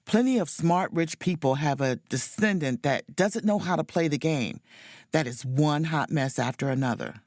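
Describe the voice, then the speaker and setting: A woman's voice: low voice, normal speed, a little mellow, dry recording, studio quality, natural voice. An actress playing an inquisitive woman space officer in a romcom audio drama, speaking expressively. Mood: brisk, speculative. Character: woman space officer on holiday, keen amateur sleuth. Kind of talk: romcom audio drama dialogue and first-person narration.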